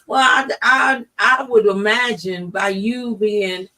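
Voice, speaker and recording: A man's voice: high-pitched, steady tone, slow pace, sharp tone, recorded from a distance. An American man hosting a talk show, interviewing guests in a casual, conversational way.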